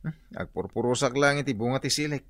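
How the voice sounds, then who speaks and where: An actor with an Ilocano accent playing an evasive, hard-drinking husband in a radio comedy-drama, mumbling and then bursting out loudly. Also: male voice, mid-range voice, quick pace, no room sound, clean studio sound, close to an everyday voice. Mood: evasive, sloppy.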